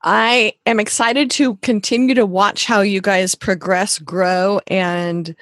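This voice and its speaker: Podcast host, woman, medium pitch, dry close recording, friendly interviewer. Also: fairly even intonation, takes it slowly, dry recording.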